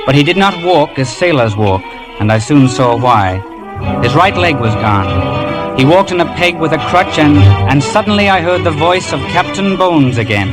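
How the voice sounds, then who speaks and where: Over clear background music, an earnest narrator recalls a boyhood adventure. The voice is slightly high and measured, with a dramatic radio storyteller's delivery. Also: male voice, big pitch swings, low-quality recording. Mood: reflective, dramatic.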